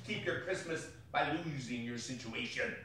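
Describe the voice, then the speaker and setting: A man's voice: mid-range voice, normal speed, pushed and bright, a little hiss or hum, lightly acted. A man playing a cold, miserly old businessman, curt and scornful, in a dramatic stage reading. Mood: curt, dismissive. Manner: curt, scornful, swinging from muttering to outbursts. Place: indoor arts centre, live performance. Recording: distant, very echoey hall recording.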